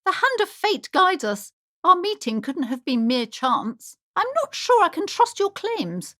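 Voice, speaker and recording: Haughty shopkeeper mother, high voice, extremely animated intonation, theatrical, judgmental, superstitious, high-strung, video game character. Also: unhurried, exaggerated voice. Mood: suspicious, dramatic. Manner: theatrical, imperious, swooping between sweet and scolding.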